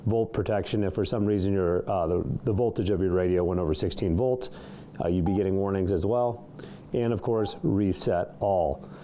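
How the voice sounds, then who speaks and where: Low, mellow man doing a casual product walkthrough. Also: moderate pace, a little hiss or hum. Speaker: product reviewer demonstrating a CB radio. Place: indoor studio.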